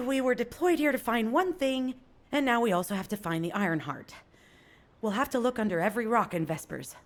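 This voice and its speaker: A woman's voice: on the high side, expressive, fairly quick, natural voice. An actress playing a tough, hot-tempered female fighter in a video game, with a blunt, intense, emphatic delivery. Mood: resolute. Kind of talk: scripted video game dialogue.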